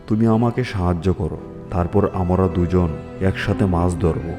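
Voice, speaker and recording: A man's voice: sits low, normal speed, pitched below the performer's own voice, lightly acted. A man voicing a cunning, wheedling lion in a fable cartoon. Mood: scheming, coaxing. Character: cunning male lion with a bone stuck in throat. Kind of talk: children's fable cartoon dialogue. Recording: loud music under the voice.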